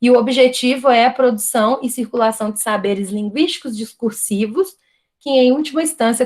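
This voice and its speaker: A woman's voice: on the high side, darkish tone. A Brazilian woman giving an online university lecture, talking thoughtfully with frequent tag questions and restarts. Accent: Brazilian Portuguese. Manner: thoughtful, many "né?" tags and restarts. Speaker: guest lecturer.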